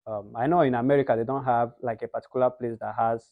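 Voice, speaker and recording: A man's voice: medium pitch, fairly even intonation, fast talker, dry recording. A young man from Nigeria with a Nigerian accent, a guest in a casual interview who explains things in an earnest way. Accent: Nigerian English. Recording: slightly muffled lo-fi sound.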